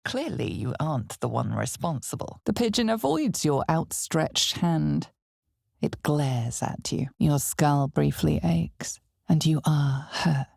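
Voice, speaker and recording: An actress playing an ominous, knowing female narrator of a dark fantasy game, husky and breathy, speaking in a measured, dramatic way that often sinks toward a whisper. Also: low-pitched, dry recording, clean studio sound, exaggerated voice. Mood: grave, ominous.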